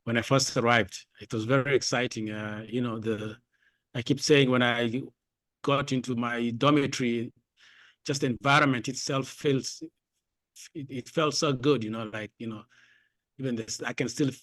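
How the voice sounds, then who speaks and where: A man who grew up in Kenya, co-hosting a casual podcast and telling stories in an animated, nostalgic way. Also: mid-range voice, moderate pace, no room sound. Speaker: podcast co-host.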